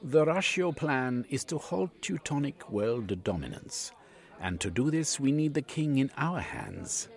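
A man's voice: mid-range voice, sing-song, unhurried, creaky, pitched above the performer's own voice. A man voicing a scheming, scornful male foreign spy villain who threatens and sneers.

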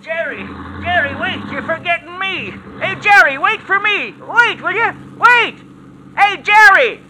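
A grumbling old man in a 1940s radio play. His voice is high and swoops animatedly up and down with folksy indignation, on an old broadcast recording. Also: expressive, a little slow, noisy background. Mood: pleading, calling out. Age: older. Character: grumbling old man griping about the young. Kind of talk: 1940s radio drama acting.

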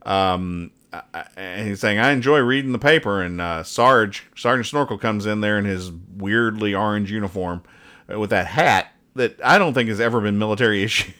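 A man's voice: fairly low pitch, moderate pace, a bit bright. A man hosting a podcast, reading comic strips aloud in casual comedic banter and explaining the jokes in a wry, mock-earnest way. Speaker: podcast host.

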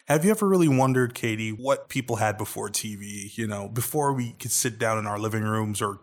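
A young man co-hosting a casual podcast, animated and jokey, with quick bursts of speech. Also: fairly low pitch. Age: young adult. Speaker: podcast co-host.

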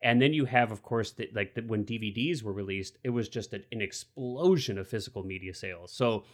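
Chatty man in his forties, lowish voice. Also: fairly quick. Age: 40s. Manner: rambling, enthusiastic, many restarts. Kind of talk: casual film discussion.

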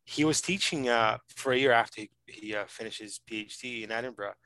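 A man's voice: medium pitch, sharp tone, clean tone, no room sound, rough recording. Earnest Brazilian-born man, dry sound. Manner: earnest, fast, many fillers and restarts. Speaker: podcast host, PhD student. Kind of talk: casual conversational interview.

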